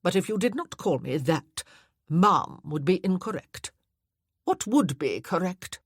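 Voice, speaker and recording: A woman voicing an imperious elderly dowager countess who speaks bluntly and deliberately. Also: lowish voice, big pitch swings, breathy edge, dry recording, clean studio sound, heavily acted.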